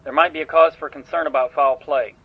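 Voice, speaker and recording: An actor playing a brisk, dry, commanding starship captain in a sci-fi audio drama. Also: male voice, a little high, fairly even intonation, husky. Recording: dull, band-limited voice under music.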